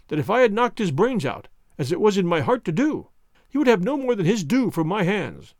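A man's voice: high voice, a little mellow, no room sound, studio quality, pitched above the performer's own voice. A man reading an audiobook, voicing a bitter, twisted former soldier with a vehement, impassioned delivery.

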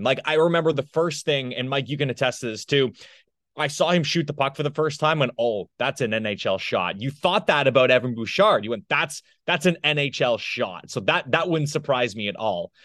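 A man's voice: mid-range voice, animated, a little fast, a bit bright, dry recording, studio quality. A man co-hosting a sports podcast in casual, loose analysis, rephrasing as he goes.

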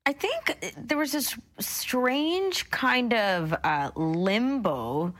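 A woman's voice: a little high, expressive, extremely slow, a bit bright. A woman co-hosting a casual chat, feeling her way conversationally through her thoughts. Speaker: talk show co-host.

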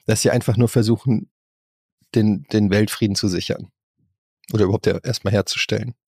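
A man with a husky voice, telling anecdotes in casual chat as a podcast co-host. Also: fairly low pitch, steady tone, a little fast, a little mellow, dry recording, studio quality.

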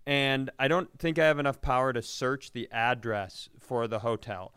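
A man co-hosting a podcast, telling self-deprecating, profane comic stories in an animated, exasperated way. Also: medium pitch, moderate pace, smooth, dry recording, clean studio sound. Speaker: podcast co-host. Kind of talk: comedic storytelling in casual podcast chat.

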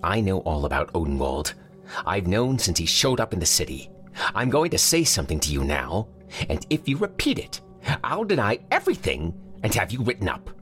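A man doing a gruff, world-weary rabbit police chief. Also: low-pitched, wildly swooping pitch, normal speed, fairly bright tone, soft background music, pitched above the performer's own voice, full character voice. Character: tough middle-aged male rabbit police chief.

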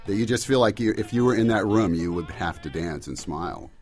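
Low-pitched man chatting casually. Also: expressive, brisk. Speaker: singer-songwriter guest. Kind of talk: casual interview chat. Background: faint music.

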